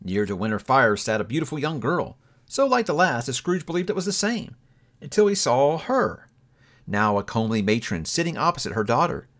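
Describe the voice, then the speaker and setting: A man narrating a classic story aloud in an expressive audiobook reading style. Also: medium pitch, brisk. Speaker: book reviewer reading aloud.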